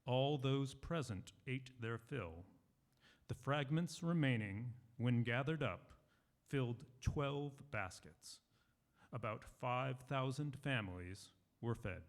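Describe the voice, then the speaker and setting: A man reading scripture aloud at a church service, with deliberate, expressive phrasing. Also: mid-range voice. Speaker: lay scripture reader.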